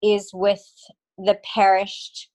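A woman in her thirties from Australia, a guest speaking earnestly and with emotion in an interview, halting at times. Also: medium pitch, completely flat delivery, a little mellow. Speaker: guest, clinical psychologist. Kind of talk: remote interview.

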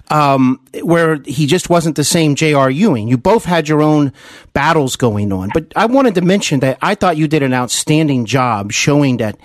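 A man's voice: medium pitch, dry recording, studio quality. A man hosting a conversational interview, admiring and meandering in how he talks. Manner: admiring, long-winded, meandering. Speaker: podcast host, interviewer.

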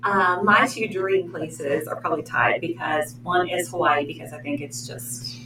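A woman's voice: fairly low pitch, moderate pace. A woman from Pennsylvania who works as an outpatient therapist, speaking earnestly and a bit hesitantly in an interview recorded in a library meeting room. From Pennsylvania, USA. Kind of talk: casual interview answers. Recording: roomy, distant mic, steady hum.

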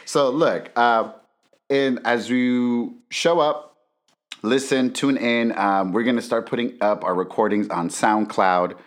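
A young man co-hosting a casual podcast and teasing his co-host in a playful, animated way. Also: medium pitch, slow. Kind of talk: casual chat. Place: Inglewood, California.

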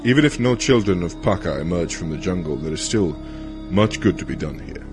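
A man's voice: low voice, expressive, a little fast, music in the background. A man playing a solemn, pious village leader in an audio drama, speaking formally and declaiming. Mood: earnest.

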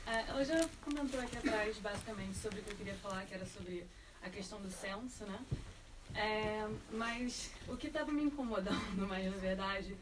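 A woman's voice: mid-range voice, normal speed. A woman from Brazil with a Brazilian Portuguese accent, asking an informal, conversational question as an audience member at a public talk. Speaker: audience member, master's student. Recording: distant microphone, clear background noise.

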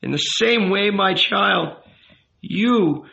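A man's voice: high voice, animated, slow, creaky, sharp tone, voice pushed up, full character voice. A man voicing an old rabbi in an audiobook, tender and earnest, coaxing and emphatic as he counsels. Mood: wistful confiding. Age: older. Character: old rabbi uncle, tender, pleading, gently commanding confessor. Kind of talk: dramatic audiobook character reading. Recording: roomy, echoing, slightly dull sound.

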